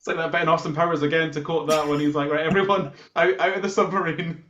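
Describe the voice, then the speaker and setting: Man, musician, jokey casual banter, raised pitch, people audible in the background. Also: steady tone, quick pace, muffled recording, over a noisy crowd. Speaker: band musician being interviewed. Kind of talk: casual chat.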